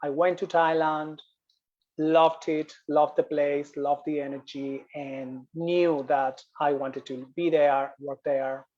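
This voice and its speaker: A man's voice: on the high side, flat delivery, takes it slowly, highs cut off. A man from Spain with a Spanish accent, a yoga business coach telling his story as a guest over a video call, often repeating and restarting phrases.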